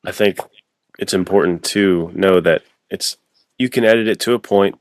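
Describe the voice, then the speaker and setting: A man with a low, deep voice co-hosts a podcast in a casual chat. His talk is informal and slangy, slipping into imagined quotes, and the sound is close and dry. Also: normal speed, no echo. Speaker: podcast co-host.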